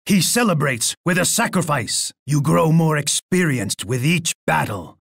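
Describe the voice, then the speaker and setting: A bloodthirsty, imperious Aztec emperor from a strategy video game. His voice is heavily gravelled and rough, recorded dry and close. He delivers every line with pompous, theatrical menace, boasting grandly about himself. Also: a little high, animated, a little slow, fairly bright tone, no echo, heavily acted. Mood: menacing, proud. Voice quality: gravelly, raspy. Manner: pompous, speaks of himself in third person.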